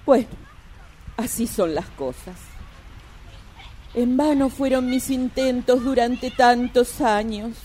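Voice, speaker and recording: A woman's voice: on the high side, takes it slowly, long pauses, a little mellow, slight background noise. A woman playing a sly, gleeful older Argentine mother in a radio drama, with a theatrical, mock-sweet manner. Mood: wry reproach. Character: sly, gleeful older mother reading her mocking farewell letter.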